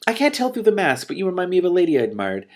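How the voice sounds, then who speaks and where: A gracious middle-aged queen, read out by a man from a storybook. She is an affectionate, playful mother who speaks gently and effusively. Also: high voice, huge pitch swings, racing, pitched above the performer's own voice.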